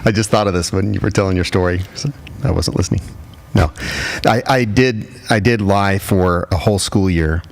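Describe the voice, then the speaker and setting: A man in his early fifties with a low, creaky voice full of fry. He chats casually as a podcast co-host, dropping dry, joking remarks. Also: moderate pace. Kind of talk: casual chat.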